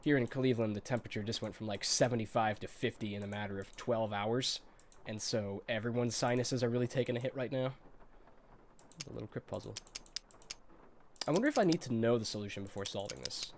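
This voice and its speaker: A man's voice: lowish voice, fairly quick, a little hiss or hum. A congested man with a cold giving chatty, nostalgic commentary while streaming a video game, laughing often.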